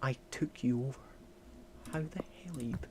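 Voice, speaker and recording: A man giving casual gameplay commentary, talking to himself and swinging between loud exclamations and quiet mutters. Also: mid-range voice, moderate pace, noisy background. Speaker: gaming streamer. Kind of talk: casual let's-play commentary.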